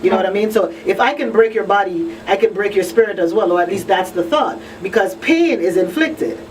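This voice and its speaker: A woman's voice: mid-range voice, a little fast. Emphatic woman hosting talk radio, slightly distant. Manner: conversational, emphatic repetition, frequent rhetorical check-ins. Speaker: radio talk show host. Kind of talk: live radio discussion. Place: radio talk show broadcast. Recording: distant mic with faint noise.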